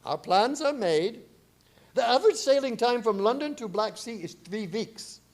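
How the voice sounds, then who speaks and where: Casting: imperious older vampire-hunting professor acted by a man, high voice, big melodic swings, old-time radio style. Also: expressive. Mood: grave, probing. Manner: deliberate, theatrical, imperious. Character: commanding older male professor, grave vampire hunter.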